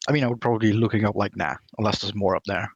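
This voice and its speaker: A man with a lowish, husky voice talks casually as a tabletop game player. The sound is dry and somewhat dull. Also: steady tone, racing, dry recording.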